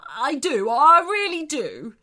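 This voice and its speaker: A woman plays a big storybook shark, a growly bully who is a great blubberer underneath. The delivery is theatrical and swoops widely in pitch, with music behind it. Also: sing-song, slow, bright, forceful tone, full character voice. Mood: tearful hope.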